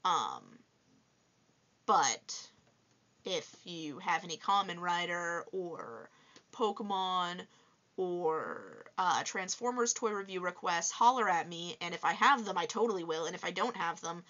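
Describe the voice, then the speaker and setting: Low-voiced chatty young woman, lo-fi. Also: expressive, a little slow, sharp tone. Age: 20s. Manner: chatty and upbeat, many fillers. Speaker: toy reviewer and vlogger. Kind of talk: casual vlog answering viewer questions. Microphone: voice-over with face unseen.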